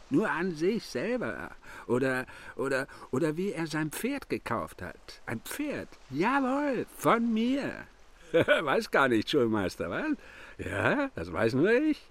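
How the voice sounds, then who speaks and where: A man playing a sly, boastful fiddler and teasing storyteller in a German radio play, with a halting, theatrical, mocking manner. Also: on the high side, a bit bright, exaggerated voice. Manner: halting, theatrical, mock-servile and mocking by turns.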